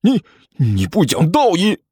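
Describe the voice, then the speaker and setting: A man's voice: mid-range voice, wildly swooping pitch, measured pace, darkish tone, a little hiss or hum, voice pushed down. Man voicing a sly, shameless swindler monk. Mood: flustered, sputtering. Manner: slangy, smirking, sputters when cornered. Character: sly, shameless monk con artist, quick to fluster.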